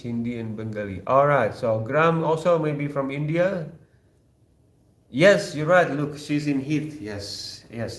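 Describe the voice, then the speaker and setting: A man's voice: mid-range voice, reverberant. A man with an Indonesian accent, a Sundanese native speaker, hosting a casual live chat and talking with viewers, joking and teasing with many fillers and restarts. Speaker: host of a live chat stream.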